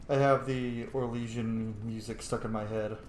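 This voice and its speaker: A man with a mid-pitched voice gives informal, opinionated gaming commentary. His recording is noticeably echoey, with faint game ambience and noise behind him. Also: darkish tone. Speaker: gamer narrating a playthrough.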